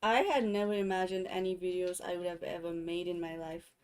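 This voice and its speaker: A woman's voice: on the low side, fairly even intonation, fairly quick, darkish tone, clean tone. A woman from Nepal with a Nepali accent, a content creator chatting cheerfully about her videos. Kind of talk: casual chat.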